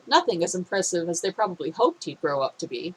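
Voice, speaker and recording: A woman reading a fan fiction story aloud in a steady, expressive way, her voice a little phlegmy. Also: fairly low pitch, fast talker, breathy edge, low-quality recording.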